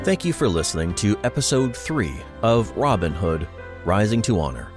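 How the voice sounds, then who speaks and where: A male announcer with a deep voice and lively, varied intonation reads scripted credits. Music plays clearly behind him. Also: moderate pace.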